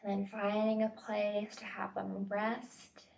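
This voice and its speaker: A woman's voice: mid-range voice, completely flat delivery, gravelly, a little hiss or hum. Distant-miked woman guiding a meditation. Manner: gentle tentative invitations, unhurried pauses. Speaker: meditation facilitator. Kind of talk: guided body scan meditation instruction. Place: at home, indoors. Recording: home recording, distant mic.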